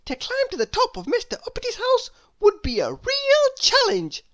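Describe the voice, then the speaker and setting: Squeaky, bold little girl. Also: huge pitch swings, moderate pace, fairly bright tone, heavily acted. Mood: confident. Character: bold, cheerful acrobatic little girl character.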